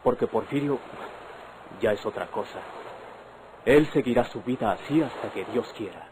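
An actor with a Mexican accent playing an earnest young husband, a bandit's son, persuading his wife in measured, formal radio-drama diction. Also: medium pitch, close to an everyday voice. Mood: pleading, earnest. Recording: noisy vintage radio drama recording.

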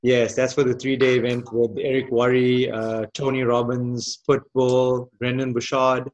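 A South African man giving an online business presentation in a measured, deliberate way. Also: medium pitch, flat delivery, a little mellow, muffled recording, a little hiss or hum. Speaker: guest presenter. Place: indoor room, on a video call.

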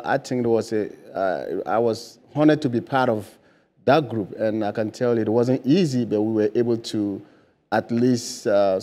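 A Ghanaian man in his forties talks earnestly in a mid-range voice, pausing on fillers and restarting his sentences. He is a guest on a lapel mic in a bright TV studio. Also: mid-range voice. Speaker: political candidate guest. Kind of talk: studio interview answers.

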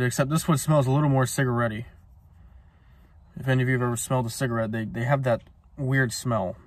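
Laid-back young man reviewing in a car. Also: mid-range voice. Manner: laid-back, rambling, many ums and uhs. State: smoking while talking. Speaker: cigar reviewer. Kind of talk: casual review vlog. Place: parked car, indoors. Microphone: phone camera on dashboard.

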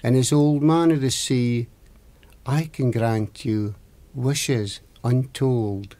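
A man's voice: mid-range voice, steady tone, slow, dark tone, lightly acted. A man voicing the old man of the sea, a magical wish-granting fish in a folktale, with a Scottish accent and emphatic delivery. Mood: pleading. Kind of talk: oral folktale storytelling.

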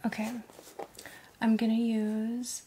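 A woman in her thirties doing an ASMR reiki session, speaking softly and mostly in a gentle, halting whisper. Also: fairly high pitch, monotone, a little hiss or hum. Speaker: ASMR reiki practitioner and host. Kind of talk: ASMR reiki roleplay, softly spoken to the viewer. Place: indoor room.

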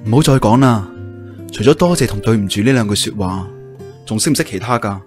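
An actor playing an earnest, devoted young suitor in a radio drama, speaking insistently and with some guilt. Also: male voice, on the low side, animated, brisk, darkish tone, close to an everyday voice. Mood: apologetic. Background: music.

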